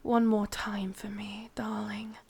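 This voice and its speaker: A woman's voice: medium pitch, monotone, slow pace, gravelly, darkish tone, slightly rough, heavily acted. A woman with an English accent playing a sadistic, possessive yandere witch in an ASMR roleplay, mostly whispering in a coaxing yet commanding way. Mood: possessive, insistent.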